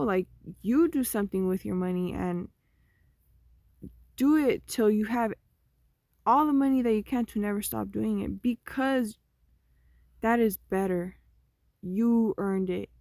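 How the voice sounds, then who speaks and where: A young woman vlogging, talking through her thoughts in a slow, meandering, conversational way that often trails off. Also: medium pitch, a little mellow, no room sound, clean studio sound. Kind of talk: rambling personal monologue.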